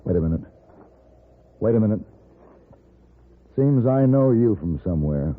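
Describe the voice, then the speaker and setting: An actor playing a cool, decisive gentleman gunfighter in a radio western, speaking in a clipped, authoritative way. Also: on the low side, animated, measured pace. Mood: tense, cool. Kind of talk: 1950s radio western acting. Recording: narrow-band old radio, faint hiss.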